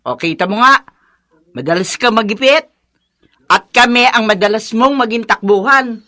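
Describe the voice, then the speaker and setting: Bright, outspoken older Filipino mother. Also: on the high side, lively intonation, normal speed. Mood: scolding, concerned. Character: outspoken older mother and grandmother, exasperated, meddling, caring. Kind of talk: Filipino radio drama acting.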